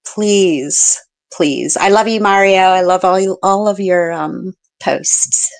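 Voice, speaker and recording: A middle-aged woman calling in to a live show, speaking in an earnest, pleading, halting way. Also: mid-range voice, creaky. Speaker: caller. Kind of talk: live call-in comment.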